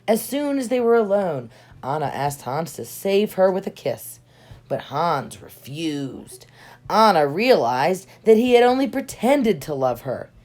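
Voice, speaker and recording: A woman reading a children's storybook aloud in expressive narration, with dramatic emphasis on key words. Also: on the low side, a little slow. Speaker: storybook reader. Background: soft music.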